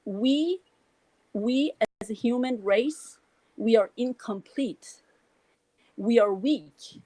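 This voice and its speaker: Middle-aged woman from Iran, Persian accent, rambling and heartfelt, slightly high voice, dry close mic. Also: takes it slowly, mellow, no room sound, low-quality recording. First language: Farsi. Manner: earnest, rambling with many restarts and "you know". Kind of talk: casual spoken reflection.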